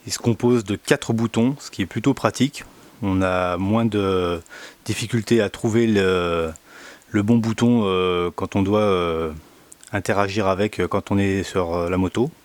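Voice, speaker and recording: A man giving a casual product demonstration indoors, speaking in a hesitant, halting way with many pauses. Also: fairly low pitch, darkish tone. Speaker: product reviewer.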